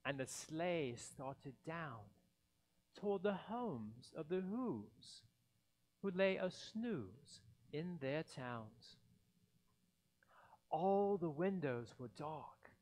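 A man reads a children's storybook in a theatrical, highly animated voice. His delivery is very slow, broken by deliberate dramatic pauses, and sits a little high for a man. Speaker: pastor reading a children's story. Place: indoors in a church by a Christmas tree. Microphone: headset mic.

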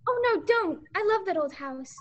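Teen girl as young small-town sweetheart, female, American, high pitch, sweet, teasing, radio play acting. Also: animated, moderate pace. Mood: sweet, playful. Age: teens.

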